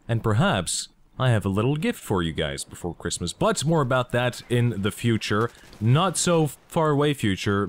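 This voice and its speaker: A young man from Belgium, talking enthusiastically and jokingly in a casual gaming vlog. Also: medium pitch. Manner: enthusiastic, joking, asks himself questions. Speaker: gaming YouTuber.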